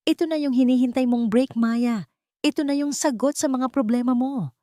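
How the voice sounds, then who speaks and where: Bubbly, pushy girl best friend for audio drama, played by a female narrator, slangy and gushing, pitched up, dry sound. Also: moderate pace, dark tone, no echo, natural voice. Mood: urging. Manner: slangy, gushing, mixes English into Tagalog.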